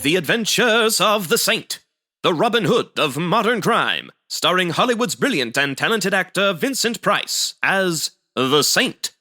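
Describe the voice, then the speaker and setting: A theatrical 1940s radio announcer with a high, forceful voice. The delivery swings widely in pitch, full of showmanship, over faint music. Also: male voice, sing-song, measured pace, exaggerated voice. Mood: grandly dramatic. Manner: dramatic, booming showmanship. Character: grandiose male 1940s radio mystery-show announcer. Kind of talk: old-time radio show introduction.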